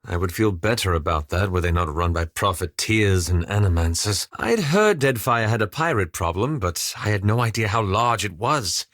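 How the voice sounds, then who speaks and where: An actor playing a reserved, scholarly male fantasy adventurer in a video game, speaking formally and articulately with measured, wry understatement. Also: lowish voice, animated, a bit bright, dry recording, clean studio sound. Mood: measured, thoughtful. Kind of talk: scripted video game character dialogue.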